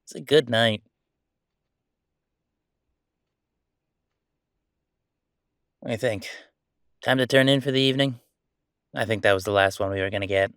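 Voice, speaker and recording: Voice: medium pitch, fairly even intonation, quick pace, a bit bright, clean tone, no room sound, clean studio sound, natural voice. An actor playing a chatty, sardonic ghost roommate in a roleplay audio, talking in a casual, teasing, exclamatory way. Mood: wistful, easygoing. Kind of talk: roleplay audio acting, conversational.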